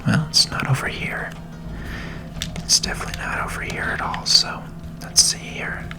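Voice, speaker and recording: A person softly whispering ASMR video game commentary in a soothing, unhurried manner. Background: game music.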